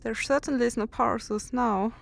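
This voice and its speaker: A woman playing a tense space explorer in an audio drama, giving a halting spoken progress report. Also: a little high, creaky. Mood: uneasy, focused. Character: tense female space explorer searching a dead station.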